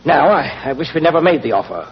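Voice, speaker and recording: A man's voice: a little high, lively intonation, fast talker, slight background noise, lightly acted. An actor playing an anxious, earnest banker in a 1940s radio drama, telling his account dramatically. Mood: worried. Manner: hesitant starts, dramatic telling. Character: anxious, earnest English banker recounting robberies. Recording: muffled 1940s radio recording.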